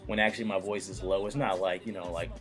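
A young man with a somewhat low voice walks viewers through a tutorial in a loose, joking way. He is recorded on a built-in computer mic in a small room at home, with faint music behind him. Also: steady tone, fast, a bit bright. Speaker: YouTube tutorial host. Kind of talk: casual screen-recorded tutorial.

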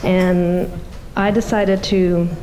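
A woman's voice: lowish voice, level tone, dark tone, a little hiss or hum, rough recording. A woman in her thirties, a native Spanish speaker, talking informally and hesitantly into a stage microphone before performing. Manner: hesitant, halting. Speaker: singer-songwriter. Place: large meeting room with seated audience. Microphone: stage microphone on a stand.

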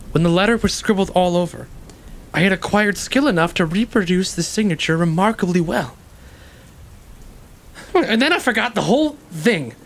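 An actor playing an anxious, educated ex-convict who justifies himself in a halting, earnest stage-play delivery. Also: male voice, a little high, lightly acted. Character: guilt-ridden educated ex-convict man, anxiously self-justifying. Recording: clearly noisy, rain-like ambience behind.

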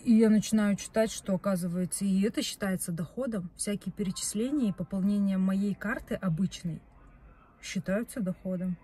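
A young woman chats on and on from the driver's seat of a parked car, filmed by a phone on the dashboard. Her voice is mellow and a little husky, and she rambles, stopping often to correct herself. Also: mid-range voice, moderate pace. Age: young adult. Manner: chatty, rambling, self-correcting. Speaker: vlogger. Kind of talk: casual chat to camera. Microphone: phone camera on dashboard.

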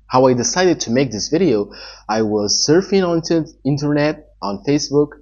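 Husky Moroccan man in his forties. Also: fairly low pitch, darkish tone. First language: Arabic. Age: 40s. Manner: earnest, halting non-native English with frequent restarts. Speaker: online entrepreneur giving advice. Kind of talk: talking-head advice vlog. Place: small indoor room with a wall-mounted TV. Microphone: camera in front at desk distance. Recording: somewhat dull, lo-fi sound.

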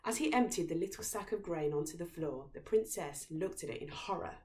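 A woman's voice: on the low side, brisk, a little mellow. A young woman reading a fairy tale aloud as a storyteller. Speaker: story reader. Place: living room at home, on a sofa.

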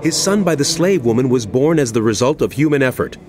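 An actor playing an earnest male apostle who pleads with and admonishes his flock, impassioned and deliberate, in a dramatized scripture reading. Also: medium pitch, lively intonation, a crowd in the background, natural voice. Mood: earnest, teaching. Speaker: actor in a dramatized audio Bible. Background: music.

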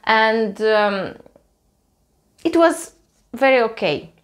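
A woman's voice: fairly high pitch, big pitch swings, extremely slow. A young woman from Russia with a Russian accent, telling a story to camera at home in a hesitant way, with many fillers. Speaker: vlogger. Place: small cluttered room at home, indoors.

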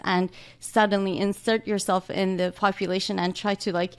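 A woman in her forties from Iran speaks with a light Iranian accent, her voice carrying a gravelly edge. She talks earnestly and warmly as a guest doctor, close on a studio microphone in a small room. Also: mid-range voice. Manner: earnest, warm, laughs often. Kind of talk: casual interview talk. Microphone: large studio mic with headphones.

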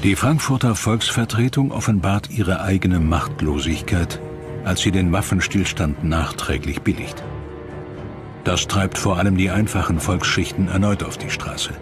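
A man's voice: low voice, moderate pace, over music. A man reading scripted documentary narration as the narrator.

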